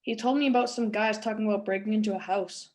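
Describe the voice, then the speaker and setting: A woman's voice: medium pitch, steady tone, fast talker, a little mellow, lightly acted. A woman playing a bold, teasing teenage boy in a table read of a script. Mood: frank.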